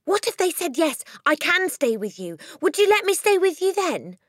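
A spirited little girl with a high, bright, loud voice, crying out her pleas in urgent bursts, as a woman reads her in an audiobook. The voice leaps way up, very lively and full of push. The recording is close and dry. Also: moderate pace, no room sound. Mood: pleading, eager. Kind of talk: audiobook character reading.

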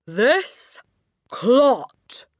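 A woman's voice: sing-song, no room sound, clean studio sound, full character voice. A woman voicing a tyrannical, bullying headmistress in an audiobook, theatrical and menacing, with emphatic delivery. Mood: furious. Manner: booming, barking insults with drawn-out emphasis. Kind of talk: audiobook character acting.

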